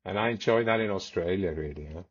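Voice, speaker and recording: A man's voice: sits low, fast talker, no room sound, lo-fi sound. A man in his forties, raised in South Africa and a native Swiss German speaker, telling stories as a podcast guest, rambling with many restarts. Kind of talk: casual storytelling.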